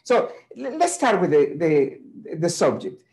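A man hosting an expert interview, speaking haltingly with frequent fillers. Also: on the high side, sing-song, a little mellow. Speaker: host, center director. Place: conference room.

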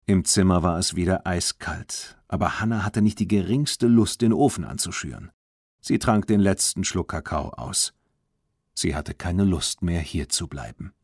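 A male audiobook narrator with a fairly low voice tells a children's story. His reading is scripted and expressive, recorded very dry with no room sound. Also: normal speed, husky, dry recording.

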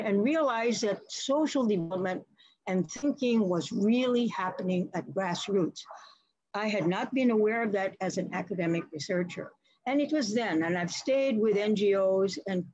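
A woman's voice: mid-range voice, a little mellow, dry recording. An older woman moderating an academic webinar from her home study, telling a long personal story in a reflective, chatty way over a video call. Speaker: seminar moderator. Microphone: laptop video call. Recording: dull dry video-call audio.

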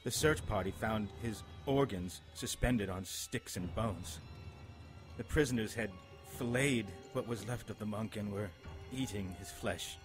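A man's voice: on the low side, a little slow, faint music behind, natural voice. A man playing a dying, bitter, pious monk in a radio play, stern and fatalistic. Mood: grave, recounting. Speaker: radio drama actor. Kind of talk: dramatic radio play storytelling.

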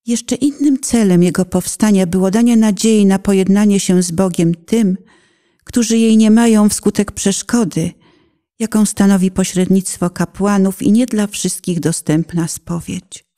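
A woman reading a scripted personal testimony as its author, in a solemn, measured way with deliberate phrasing. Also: mid-range voice, mellow. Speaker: author and narrator.

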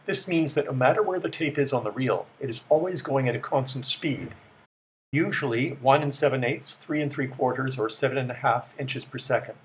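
A middle-aged man giving a measured, matter-of-fact scripted explanation as the presenter of a technical tour. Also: medium pitch, a little hiss or hum. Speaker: presenter of a vintage electronics tour.

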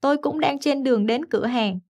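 A woman reading translated phrases for a language lesson in a quick, even delivery. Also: sits high.

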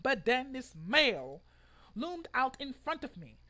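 A man's voice: very high-pitched, sing-song, unhurried. A man in his twenties giving an exaggerated, theatrical dramatic reading of a written post at home. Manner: theatrical, exaggerated delivery with sudden loud outbursts. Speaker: online video creator. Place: room at home.

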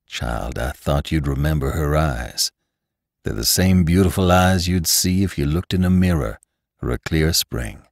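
A man putting on a soft-drawling old gunman. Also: a bass voice, animated, creaky, no echo, clean studio sound, pitched below the performer's own voice, heavily acted. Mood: tender. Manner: slow, tender, folksy. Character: gentle older Western gunman, man.

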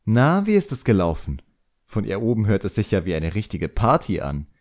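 A man voicing a caring, concerned female tutor in an audiobook, gently and anxiously questioning. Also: on the low side, wildly swooping pitch, moderate pace. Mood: cheerful, curious.

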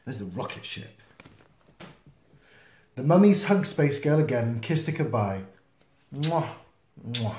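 A man reading a children's picture book aloud in an expressive, playful manner. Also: mid-range voice. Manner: expressive, slow, with playful sound effects and asides. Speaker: storytime reader. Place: small indoor room, seen close to the camera. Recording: roomy, echoey home video sound.

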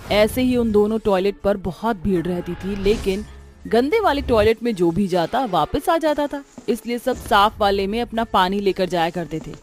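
A woman's voice: mid-range voice, a little mellow. A woman reading scripted narration as the storyteller of a cartoon tale.

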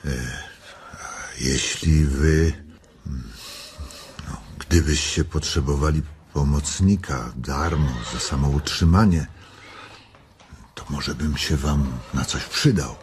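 A lonely, world-weary farm labourer in a radio play. His voice is low and his manner dry and doubting, with noise clearly present in the recording. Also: slow, long pauses. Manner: dry, sceptical. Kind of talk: radio drama acting.

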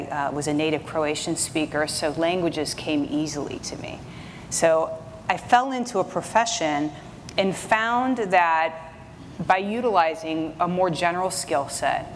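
A woman's voice: low voice, a little hiss or hum. A middle-aged woman from the United States, a panelist on a conference stage, introducing herself with dry, self-deprecating humor. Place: conference stage in a large hall. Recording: reverberant hall with audience.

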